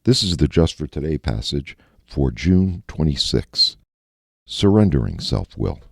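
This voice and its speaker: Very deep gravelly-voiced man reading. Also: big pitch swings, measured pace, dry recording, studio quality. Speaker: recovering addict reading a daily meditation. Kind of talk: reading a recovery meditation aloud.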